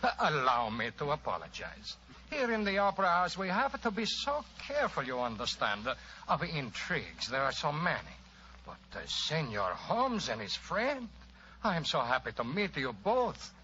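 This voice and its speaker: A courtly police inspector with an Italian accent, florid and effusive. His bright, highish voice swings widely in old radio drama fashion, on a dull recording with faint noise. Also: huge pitch swings, normal speed, exaggerated voice. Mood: effusive, polite. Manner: formal, florid, hesitant politeness.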